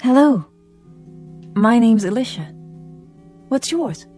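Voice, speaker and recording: A woman's voice: on the high side, animated, slow pace, mellow. An actress in a radio drama playing a curious, innocent humanlike robot woman with a polite, literal manner. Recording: music behind.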